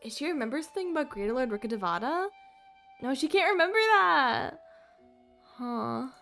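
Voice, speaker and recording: A woman's voice: high-pitched, lively intonation, fairly quick, vocal fry, fairly bright tone, soft background music. A woman game streamer giving casual live commentary in short spoken asides. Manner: reacts aloud in short asides.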